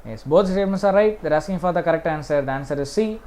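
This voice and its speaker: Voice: mid-range voice, quick pace, dark tone, slight background noise. A teacher with an Indian accent lecturing through exam questions step by step, repeating points and often punctuating them with a short yes. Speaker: exam-prep history teacher.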